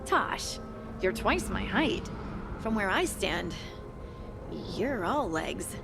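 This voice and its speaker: An earnest, friendly female scout from a fantasy game. Her voice sits at a middling pitch and sounds small-statured and caring, with music clearly behind it. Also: very animated, takes it slowly, heavily acted. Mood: concerned, teasing. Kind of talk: video game voice acting.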